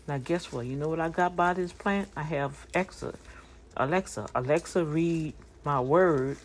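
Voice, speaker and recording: A woman's voice: sits low, normal speed, a little hiss or hum. A woman in her fifties giving a casual motivational talk, conversational and preachy, speaking straight to her listeners. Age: 50s.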